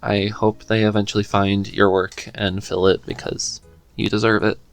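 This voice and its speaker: A man's voice: on the low side, level tone, normal speed, vocal fry, faint music behind. A man hosting a casual interview, easygoing and encouraging as he talks. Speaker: podcast host, interviewer.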